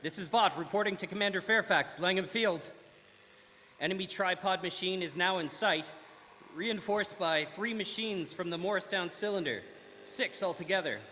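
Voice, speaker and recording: A man's voice: high voice, pushed and bright. A man playing an army bomber pilot in a live radio drama, giving clipped, urgent reports in an echoey hall with noise behind.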